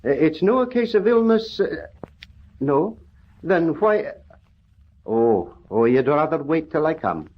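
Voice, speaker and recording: Husky Scottish country doctor. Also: male voice, fairly high pitch, expressive, slow, long pauses. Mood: genial, folksy. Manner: homely, exclamatory turns of phrase. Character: kindly Scottish country doctor, warm and earnest. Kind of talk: old-time radio drama acting. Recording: muffled old radio recording.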